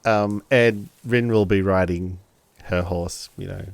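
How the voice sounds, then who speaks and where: A man's voice: deep voice, steady tone, slow, vocal fry, no echo. A man playing a tabletop role-playing game, narrating casually and hesitantly as he pieces his sentences together.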